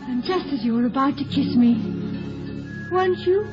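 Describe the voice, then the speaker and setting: An actress playing a playful, affectionate, coyly teasing sweetheart and wife in a 1950s radio comedy-drama. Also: female voice, sits high, lively intonation, moderate pace, music in the background. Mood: amused, teasing. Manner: coy, lightly teasing. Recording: muffled old 1950s radio recording.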